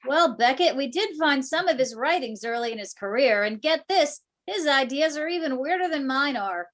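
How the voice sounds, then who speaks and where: A woman's voice: high-pitched, expressive, moderate pace, fairly bright tone. A woman playing a geeky, wisecracking conspiracy theorist researcher in an amateur readers theater reading. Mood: intrigued.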